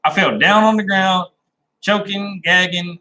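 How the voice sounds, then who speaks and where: Man, live-stream host, plain country talker, rambling, bright tone. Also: sits high, a little slow. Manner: rambling and laid-back, with many "uh"s and restarts. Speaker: livestream host chatting with viewers. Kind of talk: casual live-stream chat.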